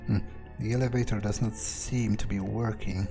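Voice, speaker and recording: A man's voice: lowish voice, steady tone, moderate pace, creaky, music in the background. A man doing an in-character game playthrough as a jaded, cocky vampire, thinking aloud in a hesitant, muttering, sweary way. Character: jaded, cocky vampire outcast, musing to himself. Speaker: video game player speaking in character.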